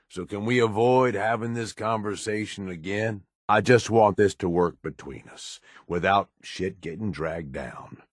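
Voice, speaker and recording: An actor playing a gruff, cynical raider henchman in a video game, blunt, foul-mouthed and grudgingly loyal, talking in a rough colloquial drawl. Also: low-pitched, dry recording, studio quality, full character voice. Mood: earnest. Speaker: video game companion character. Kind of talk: video game dialogue lines.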